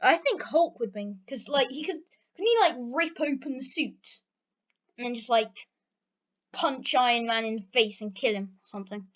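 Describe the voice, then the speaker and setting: A woman's voice: on the high side, expressive, normal speed, a bit bright. A British teenage girl chatting casually through a question-and-answer vlog, rambling with plenty of fillers. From Britain. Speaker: channel host. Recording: dull lo-fi recording.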